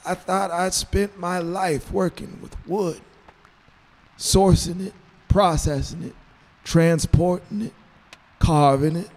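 A high, gravelly old grandfather performed by a man reading a storybook speaks in a big ringing reverb. He is sentimental and grateful, with a slow, halting, tender delivery. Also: steady tone. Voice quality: creaky. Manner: halting, tender, soft-spoken. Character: elderly grandfather giving a sentimental, grateful retirement speech. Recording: long hall reverb on the voice.

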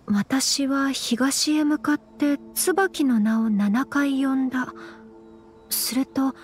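A refined, earnest young noblewoman for an anime game. The voice is high and gentle, with thoughtful, formal and measured phrasing. Music is clearly heard behind it. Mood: measured reading. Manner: very polite, measured, formal speech. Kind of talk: anime game character acting.

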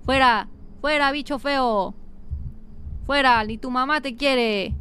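A woman's voice: high-pitched, slow, a bit bright, a little hiss or hum. A woman with a Mexican accent commentating a horror game as a streamer, dramatic and teasing, sometimes breaking into song. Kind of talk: gaming livestream commentary.

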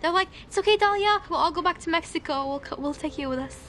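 A woman's voice: very high voice, fast, fairly bright tone. A young woman in her late teens from Syria, talking casually and personally, as in a documentary interview. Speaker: documentary subject, high school student.

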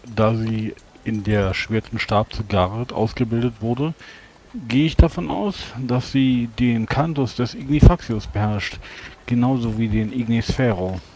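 A man's voice: medium pitch, a little mellow, slight background noise. A man role-playing at a tabletop game, talking in a formal, scholarly manner. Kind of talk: in-character talk in a fantasy tabletop game.

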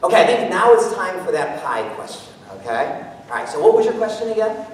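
American man, middle-aged, stage speaker, animated, higher-pitched, distant echoey noisy hall recording. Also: a little fast. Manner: animated, jokey, thinking aloud. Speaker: guest speaker, mathematician performer. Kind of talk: live stage talk with audience questions. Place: theatre stage, indoors.

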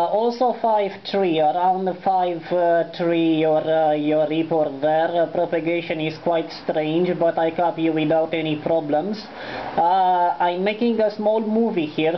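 A man with a Romanian accent making an amateur radio contact, courteous and formulaic, spelling phonetically. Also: high-pitched. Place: indoors, at a desk beside the transceiver. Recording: dull lo-fi sound with faint noise.

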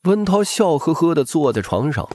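A man's voice: a little high, very animated, normal speed, dark tone, dry recording. A man giving dramatic scripted narration of a crime novel as an audiobook narrator. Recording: music bed behind the voice.

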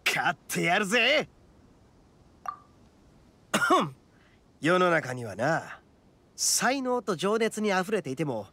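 Brash, animated young oni braggart. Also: male voice, high voice, full character voice. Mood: proud, lecturing. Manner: brash, boastful, rough masculine speech. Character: boisterous, cocky young male oni, hot-blooded braggart. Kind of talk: anime-style game voice acting. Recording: studio voice over game music. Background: background music.

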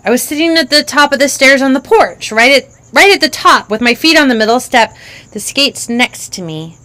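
A woman's voice: sits high, lively intonation, normal speed, pushed and bright, voice pushed up. A woman voicing her five-year-old self, an eager little girl telling how she fell. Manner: breathless, eager, tumbling run-on sentences.

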